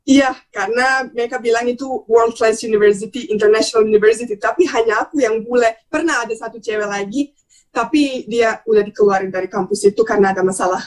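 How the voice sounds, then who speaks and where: A young woman from Bosnia, telling stories casually as a podcast guest, talkative and expressive, mixing in English words. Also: fairly high pitch, fast, low-quality recording. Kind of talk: casual conversational storytelling.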